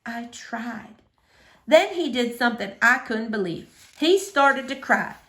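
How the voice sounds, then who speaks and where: A woman reading a children's novel aloud as expressive story narration. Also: fairly high pitch, normal speed. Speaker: storybook reader.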